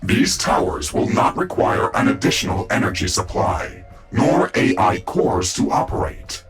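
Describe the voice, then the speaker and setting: A calm, loyal mecha AI in a video game. The voice is deep, formal and analytical, with measured phrasing that moves up and down a good deal, over clear background music. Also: male voice. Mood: informative, calm. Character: calm, loyal male AI of a battle mecha suit. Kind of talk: scripted video game dialogue.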